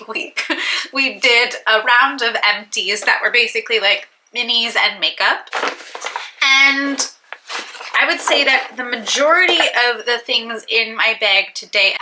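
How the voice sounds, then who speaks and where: A woman's voice: fairly high pitch, noisy background. A young woman vlogging about beauty products in a casual, rambling, self-deprecating way, sounding stuffy and congested. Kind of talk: casual chat to camera.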